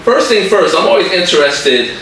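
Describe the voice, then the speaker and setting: A man with a bright, forceful voice runs a school podcast in an easy, joking way, padding his talk with fillers and asides. He sounds distant from the mic in a very echoey room. Faint noise sits under his voice. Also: high-pitched, animated, moderate pace. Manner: chatty, joking, lots of fillers. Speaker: podcast host. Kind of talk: casual podcast chat.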